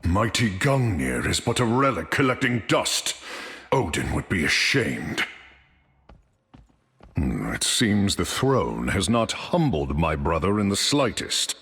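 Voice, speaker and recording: An actor playing a noble, solemn god prince in a video game, with grave, stately, old-fashioned formal speech. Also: male voice, sits low, sing-song, slow, fairly bright tone, exaggerated voice. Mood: disdainful. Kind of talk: epic video game voice acting. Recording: reverberant hall-like game audio.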